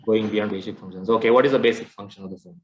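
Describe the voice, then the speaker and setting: A man's voice: on the low side, steady tone, racing, muffled recording, a little hiss or hum. A man with an Indian English accent giving an interactive lesson as a technology trainer, explaining step by step and often checking in with the listeners. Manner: explanatory, checks in with "right?" and "okay". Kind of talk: interactive classroom lecture.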